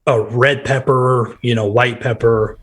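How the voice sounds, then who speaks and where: A man in his thirties from Nebraska with a nasal, hoarse voice, chatting casually as a podcast guest and telling rambling stories. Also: medium pitch, steady tone, unhurried, a bit bright. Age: 30s. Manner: rambling, quotes himself in bursts. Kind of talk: casual chat.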